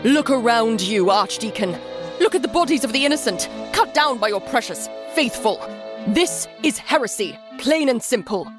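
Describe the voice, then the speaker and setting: A woman voicing a haughty, sharp-tongued female magistrate who scoffs at her rival in a story. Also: on the high side, animated, moderate pace, music in the background, pitched above the performer's own voice, natural voice. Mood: scornful anger. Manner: scoffing, retorting.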